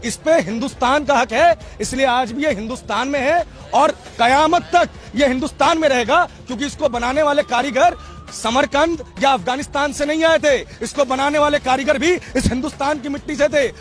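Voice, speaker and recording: A man's voice: very high voice, moderate pace. A man from Rajasthan, arguing in an impassioned street debate, lecturing and repeating his questions insistently. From Rajasthan, India. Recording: music behind the voice. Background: music.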